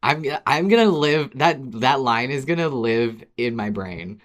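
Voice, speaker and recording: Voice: medium pitch, animated, moderate pace. A podcast co-host in casual fan discussion, talking in a wry, informal way.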